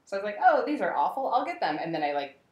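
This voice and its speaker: A woman's voice: mid-range voice, very animated, very fast, dull audio. A woman co-hosting a podcast, telling stories playfully and acting out what people said. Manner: playful, acts out quotes. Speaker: podcast co-host. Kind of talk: casual chat. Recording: roomy echo.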